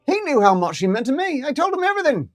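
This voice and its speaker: A man's voice: very high-pitched, very animated, quick pace, no room sound, clean studio sound, pitched above the performer's own voice. A man voicing a foul-mouthed, fiercely loyal female talking ferret. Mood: grieving fury. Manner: brash, loud, slangy, swears.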